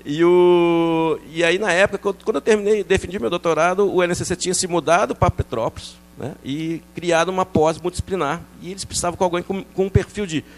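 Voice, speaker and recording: A middle-aged man from France, a researcher explaining his work in a TV interview, quick and animated, with many restarts and fillers. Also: high voice. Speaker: researcher guest.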